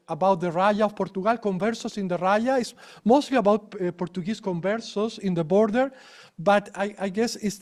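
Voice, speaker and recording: A man's voice: high voice, darkish tone. A man giving an academic conference talk, restarting phrases often and checking in with tag questions. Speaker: conference presenter. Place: lecture room.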